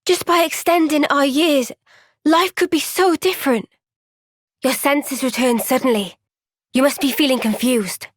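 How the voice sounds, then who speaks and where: Young female fantasy soldier for a game cutscene: high voice, unhurried delivery, earnest and soft-spoken. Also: no echo, studio quality. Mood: wistful, earnest. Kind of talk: video game cutscene voice acting.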